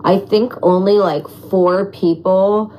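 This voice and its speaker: A raspy young woman answering fan questions in a casual, animated chat, full of "like" and "um". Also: mid-range voice, slow, a little hiss or hum, low-quality recording. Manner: animated, chatty, heavy on fillers like "like" and "um". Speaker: former reality show contestant.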